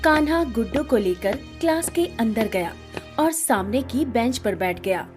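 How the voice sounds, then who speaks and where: A woman narrating a scripted bedtime story in an expressive storytelling manner. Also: fairly high pitch, a little slow, soft background music.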